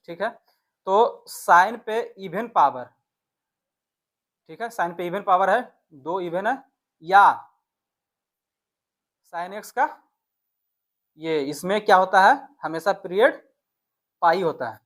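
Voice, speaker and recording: A maths teacher working through exam questions step by step, addressing students and often checking in with short tags. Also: medium pitch.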